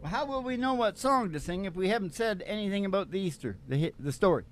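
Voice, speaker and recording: A man's voice: high voice, lively intonation, quick pace. A man co-hosting a radio show in casual comedic chat, rambling and opinionated in a folksy way, with acoustic guitar. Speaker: singing radio show co-host. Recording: guitar playing behind the voice.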